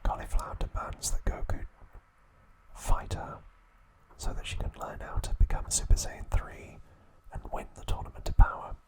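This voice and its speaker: A man narrating trivia as an ASMR host, in a slow, hushed, deliberate whisper with pauses between phrases. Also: poor audio.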